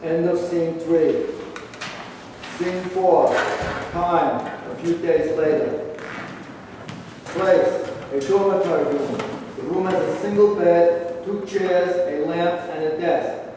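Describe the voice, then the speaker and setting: A man's voice: fairly high pitch, steady tone, slow pace, long pauses. A man reading scripted stage directions as narrator of a staged play reading. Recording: echoing hall, distant mic, faint noise.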